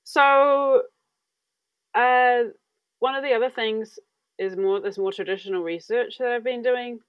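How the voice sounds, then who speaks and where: A woman in her thirties from New Zealand giving a conference talk over a video call from a room at home, relaxed and searching for words with many fillers. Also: on the high side, unhurried, clean tone, dry recording. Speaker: academic speaker. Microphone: earphone mic on video call. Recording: dry, dull video-call audio.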